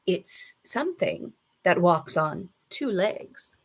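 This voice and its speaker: A sly, greedy storybook crocodile, acted out by a woman, boastful and full of relish. The words come slowly and drawn out, with big, theatrical swoops in pitch. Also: very animated, gravelly, heavily acted. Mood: sly, scheming. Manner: gloating, drawn-out, relishing menace. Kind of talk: children's storybook character reading.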